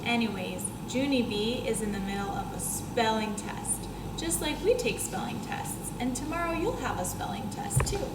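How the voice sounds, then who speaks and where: A young woman, a first grade teacher, reading a children's book aloud to her pupils in an expressive way and talking to them in questions and explanations. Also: a little high, normal speed, few pauses. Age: young adult. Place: school classroom, indoors. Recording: roomy classroom echo, clear background noise.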